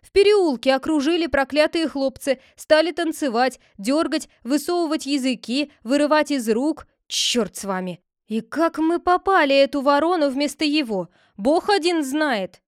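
Give me a woman playing jittery watchmen protesting loudly, high voice. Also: normal speed, dry recording, studio quality. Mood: excited complaining. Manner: excited, protesting. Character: frightened village watchmen, men.

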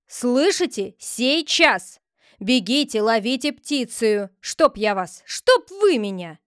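A woman voicing an older village head who shouts commands in a blustering, bossy way. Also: high voice, animated, a little slow, clear, resonant tone, no room sound, studio quality. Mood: angry, commanding.

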